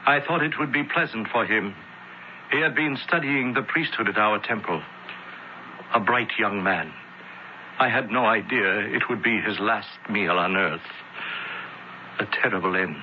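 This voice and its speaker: Actor as a solemn high priest, muffled radio. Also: male voice, lightly acted. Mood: feigned sorrow. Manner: measured, hesitant, solemn. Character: scheming Egyptian-temple high priest giving smooth testimony. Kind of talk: radio drama acting. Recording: dull radio recording, faint hiss.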